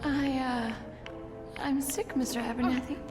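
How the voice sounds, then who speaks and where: Film role: flustered young female witch, high register, sweet, reverberant with score. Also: flat delivery, measured pace, music in the background. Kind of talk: film dialogue in a game cutscene.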